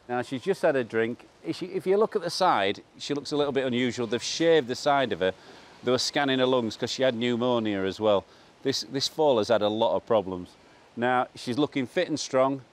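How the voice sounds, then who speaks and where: A man in his fifties with a Yorkshire accent, a farmer presenting an informal vlog in a plain, matter-of-fact way. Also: mid-range voice. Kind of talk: informal vlog explaining animal care to camera. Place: large open-sided straw-bedded barn.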